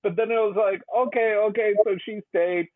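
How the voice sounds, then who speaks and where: A man's voice: high voice, fairly even intonation, normal speed, no room sound. Tinny-sounding man rambling about a film. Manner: rambling, laughing, many "like" fillers. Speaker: podcast co-host. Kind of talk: casual movie review chat.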